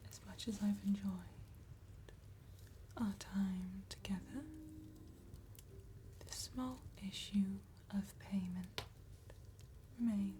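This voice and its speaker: A woman voicing a courteous, sly female vampire tattooist in a gothic ASMR roleplay, soft and breathy, with formal phrasing and veiled menace. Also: medium pitch, a little hiss or hum, close to an everyday voice. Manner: slow, formal, old-fashioned politeness with veiled menace.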